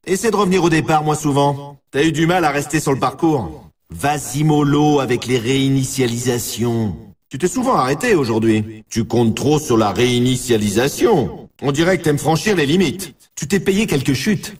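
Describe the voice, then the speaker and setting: Video game race announcer, brash and punchy, husky voice, very lively intonation, snowboard sports style. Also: male voice, medium pitch, normal speed, far from the mic. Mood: teasing. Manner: punchy exclamatory delivery in short scripted lines. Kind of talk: scripted sports announcer lines with colloquial address.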